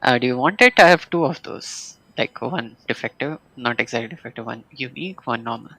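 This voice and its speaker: A woman's voice: very deep voice, animated, a little fast, a bit bright, a little hiss or hum, poor audio. A woman live streaming a game with casual commentary, muttering short asides.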